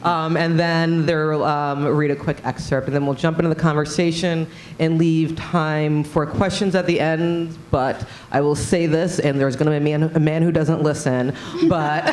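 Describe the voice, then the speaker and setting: A man moderating a book panel, speaking casually and teasing the audience about questions. His voice sits a little high for a man. A faint hum of room ambience sits behind him. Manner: casual and joking, with many fillers. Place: public hall.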